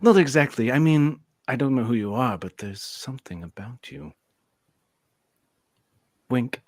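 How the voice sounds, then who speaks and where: A woman's voice: very deep voice, expressive, a little slow, darkish tone, no room sound, studio quality, heavily acted. Woman playing a dreamy, swooping starship empath. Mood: dreamy, suggestive. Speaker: podcaster acting in script reenactment. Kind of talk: amateur comedic radio play reading.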